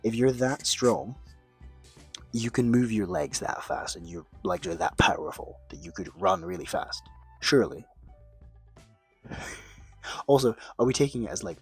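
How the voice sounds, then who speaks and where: A man's voice: mid-range voice, sing-song, measured pace, vocal fry, pauses often, breathy edge, faint music behind. A young man, rambling through would-you-rather questions in casual chat, mocking himself, swearing offhand and restarting his sentences. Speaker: video creator answering questions.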